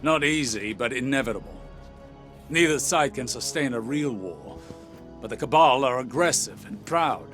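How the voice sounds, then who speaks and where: An actor playing an aloof male mentor who speaks in a measured, commanding way. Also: mid-range voice, expressive, a bit bright, soft background music, natural voice. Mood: urgent. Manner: measured, cool, stern. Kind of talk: video game cutscene dialogue. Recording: game score behind.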